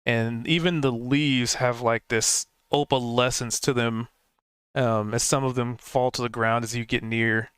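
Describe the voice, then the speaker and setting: A man's voice: medium pitch, steady tone, a little slow, fairly bright tone, smooth, dry recording, clean studio sound. A man narrating as game master in a rambling, descriptive way, full of fillers.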